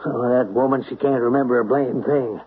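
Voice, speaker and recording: Actor as a husky, jittery, guilt-ridden man. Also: mid-range voice, fairly even intonation, a little fast, close to an everyday voice. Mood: flustered irritation. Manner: hesitant, stammering, agitated. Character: anxious, guilt-ridden husband, flustered and excitable. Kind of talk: old-time radio drama acting. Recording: tinny, band-limited old radio recording.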